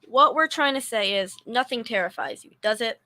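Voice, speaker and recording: Radio comedy straight woman female performer: young, dry, exasperated, slightly high and bright. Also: animated, normal speed. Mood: dry, sarcastic. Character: sensible, sarcastic young woman, exasperated roommate. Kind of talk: 1940s radio comedy acting.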